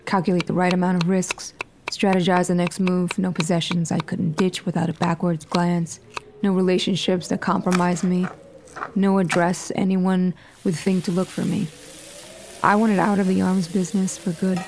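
A woman's voice: on the low side, level tone, moderate pace, noisy background, lightly acted. A woman playing a cool, calculating operative on the run in an audio fiction drama. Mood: cool, confessional.

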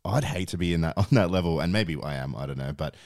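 A man's voice: low voice, expressive, quick pace, creaky, darkish tone. A man co-hosting a podcast, casual and agreeable, laughing often and prompting the others with questions. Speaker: podcast co-host. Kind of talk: casual chat.

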